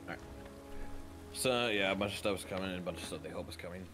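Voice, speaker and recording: A man's voice: deep voice, fast talker. A man chatting casually with a friend during a co-op game, informal and sweary. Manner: profane, informal. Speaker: gaming partner. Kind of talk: casual gameplay chat. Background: game music and sound effects.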